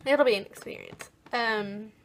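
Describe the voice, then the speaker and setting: A young American woman chatting casually in a vlog, often pausing as she tries to recall things. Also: on the high side, slight background noise. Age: young adult. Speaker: college program applicant vlogger.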